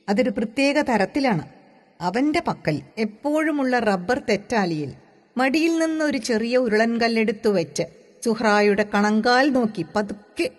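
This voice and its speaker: A woman from reads literary prose aloud as a measured, deliberate storyteller. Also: medium pitch. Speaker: audiobook reader. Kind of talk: expressive literary narration. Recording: long hall-like reverb.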